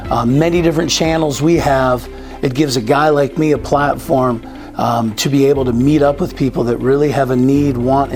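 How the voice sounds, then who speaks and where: A man, an insurance agent and former teacher, telling his story in a relaxed, conversational way. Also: medium pitch. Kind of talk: testimonial. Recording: background music.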